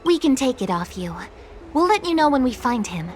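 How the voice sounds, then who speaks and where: An actor playing a calm, helpful adventurer in a video game. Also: high voice, normal speed, a little hiss or hum.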